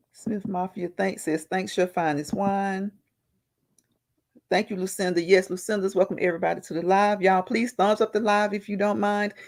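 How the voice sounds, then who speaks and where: Gravelly-voiced woman hosting a live chat. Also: medium pitch, fairly even intonation, moderate pace, darkish tone. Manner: laughs often, greets viewers by name. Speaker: livestream host reading chat.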